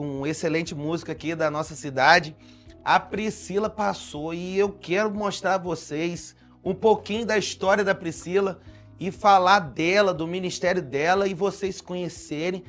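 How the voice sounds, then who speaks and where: A man with a Brazilian Portuguese accent hosting a faith interview show, upbeat and teasing in a casual chat. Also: fairly high pitch. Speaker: talk show host.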